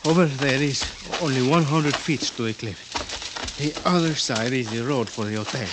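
A man playing an eager, urgent Mexican helper with a Spanish accent and halting English, in 1950s radio drama style. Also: medium pitch, close to an everyday voice. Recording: very noisy old radio recording.

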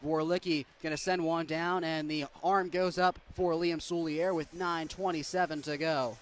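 Young guy doing hockey play by play, kinda high voice, fast steady call that blows up on goals, faint arena noise. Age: young adult. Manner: rapid steady call rising to loud excited goal calls. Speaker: play-by-play announcer.

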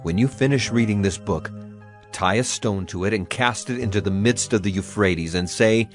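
A man's voice: on the low side, normal speed, soft background music, lightly acted. A man playing a solemn prophet proclaiming divine wrath in a declamatory, dramatized scripture reading. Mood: grave instruction.

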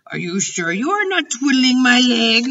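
Storybook giant, ancient, gentle, proud, childlike played by a woman; forceful, drawn-out, garbled grammar, slightly dull distant recording. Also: a little high, sing-song, voice pushed up, exaggerated voice. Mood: delighted. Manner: mangled grammar and invented words.

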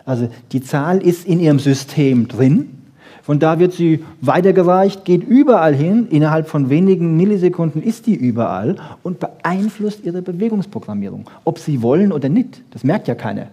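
A man's voice: a little high, animated, normal speed, mellow, husky. A man giving an informal lecture, explaining conversationally with rhetorical questions and short emphatic statements. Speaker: lecturer on brain research. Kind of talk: animated informal lecture to an audience.

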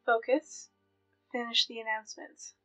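A woman playing Hannah, a calm and deadpan teen girl helping with announcements in an audio drama. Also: on the high side, moderate pace, soft background music, natural voice, rough recording. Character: dry, unimpressed teen girl helper Hannah.

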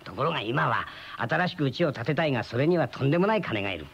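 A man's voice: mid-range voice, sing-song, quick pace, gravelly, a bit bright, slightly rough, full character voice. An actor playing a chatty, wry friend in his thirties in a radio drama, explaining things colloquially. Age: 30s. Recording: dull lo-fi old radio recording.

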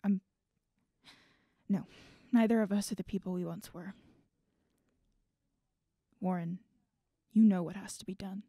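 A woman's voice: medium pitch, fairly even intonation, measured pace, pauses often, mellow, no echo. Woman acting as guarded, regretful Hugh, close and dry. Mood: conflicted. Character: guarded, regretful adult named Hugh, torn and bitter. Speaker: voice actor in student audio drama. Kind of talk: naturalistic audio drama dialogue.

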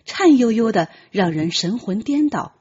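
A woman reads a novel as a dramatic audiobook. Her voice is very husky and breathy, at a middle pitch with animated movement. The recording is slightly dull. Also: a little slow. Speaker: audiobook narrator. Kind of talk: dramatic scripted storytelling.

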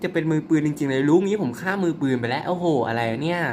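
A young man commentating his own mobile werewolf game in a chatty, excitable way, polite but slipping into laughter. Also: on the high side, fast talker, slight background noise. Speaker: gaming YouTuber.

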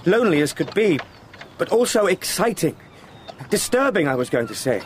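An actor playing an eager, polite young English solicitor in a radio drama, speaking formally with small hesitant asides. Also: male voice, high-pitched, big pitch swings, normal speed. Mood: awed, eager. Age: young adult. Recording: noisy outdoor sound-effect background.

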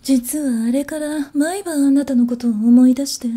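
Lady doing a creepy courteous chief stewardess, lower mellow voice, sly and hushed, asmr drama. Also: steady tone, close to an everyday voice. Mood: sly, menacing. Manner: hushed, slow menace under courteous speech. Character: sly, sadistic female chief attendant, predatory stalker. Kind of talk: Japanese ASMR situation voice drama.

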